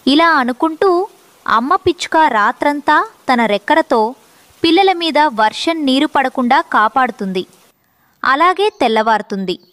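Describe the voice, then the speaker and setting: A woman's voice: sits high, a bit bright, dry recording. A woman narrator reading a scripted moral story for children.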